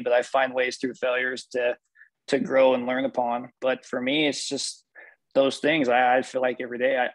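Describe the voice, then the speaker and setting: A middle-aged man, a sports performance executive, talking in a measured, reflective way with many casual fillers. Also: mid-range voice, dry recording, poor audio. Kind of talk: casual interview over a call.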